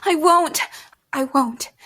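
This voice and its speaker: A woman's voice: sits high, slow pace, bright, forceful tone, heavily acted. An actress playing a frightened, bewildered young woman on the run in an audio drama, speaking in an earnest, hesitant, pleading way.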